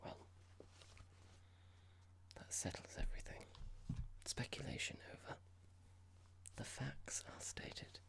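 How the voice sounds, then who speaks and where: An ASMR performer playing a cold, condescending boss in a roleplay, speaking softly in a measured, formal, halting and passive-aggressive way. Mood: cold, probing. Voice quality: breathy, creaky whisper. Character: cold condescending boss about to fire an employee. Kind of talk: ASMR roleplay, whispered and soft-spoken.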